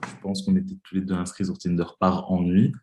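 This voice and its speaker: A man's voice: low-pitched, flat delivery, a little fast, darkish tone. A man in his twenties from Belgium, a podcast guest talking casually and hesitantly with many fillers. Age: 20s. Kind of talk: casual interview talk.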